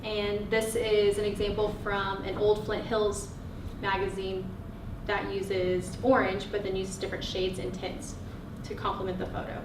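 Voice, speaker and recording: A woman's voice: medium pitch, monotone, unhurried, noisy background. A woman instructor teaching a college class, upbeat and encouraging, explaining step by step. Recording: roomy classroom recording from a distance.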